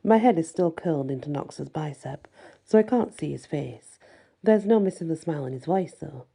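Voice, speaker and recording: Female ASMR story reader: very dark timbre, husky texture, first-person fantasy narration. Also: sits low, animated, moderate pace.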